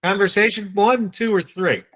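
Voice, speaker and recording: A man with a New England accent leading a live online sales training, quizzing and teasing his audience in a brisk way. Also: sits high, fairly bright tone.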